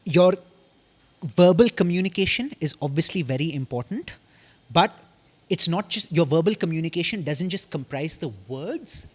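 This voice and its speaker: A young man with an Indian English accent, a podcast guest explaining communication in an articulate, measured way, pausing often between sentences. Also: fairly high pitch, animated. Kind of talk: casual explanatory interview talk.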